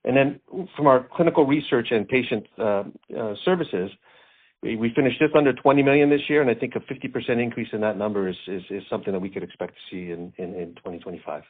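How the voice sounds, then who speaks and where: A man speaking as a finance executive, answering questions on an earnings call in a halting way, repeating words as he goes. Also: medium pitch. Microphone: conference call line. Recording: thin phone-like conference call line.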